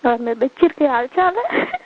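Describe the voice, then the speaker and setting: Female caller, Marwari accent, high voice, informal private conversation over a narrow phone line. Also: very animated, normal speed. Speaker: caller on a personal phone call. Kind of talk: casual intimate phone chat. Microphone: telephone line. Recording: thin, band-limited phone call recording.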